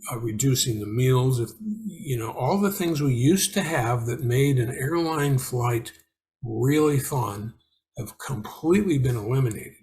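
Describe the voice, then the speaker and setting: Older man, deliberate and opinionated speaker. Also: medium pitch. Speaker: panel host and business commentator. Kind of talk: informal business discussion, extended explanation.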